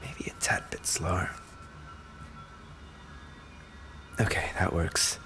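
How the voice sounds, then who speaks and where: A man's voice: moderate pace, sharp tone, whispered, close to an everyday voice. A man playing an injured young race-car driver boyfriend, tender and playfully needy, in an intimate roleplay audio. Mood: strained, careful.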